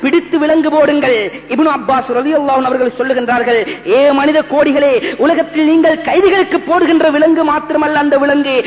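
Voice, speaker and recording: A male preacher delivers an Islamic sermon in an extremely high-pitched voice. His delivery is dramatic and urgent, built on repetition, rhetorical questions and recited Arabic verses. The recording is dull and muffled, with long hall reverberation around every phrase. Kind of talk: impassioned Islamic sermon with Arabic scripture quotations.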